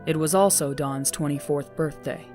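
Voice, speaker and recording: A woman's voice: sits low, moderate pace. A woman narrating a scripted audio drama story as its storyteller. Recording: music behind the voice. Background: music.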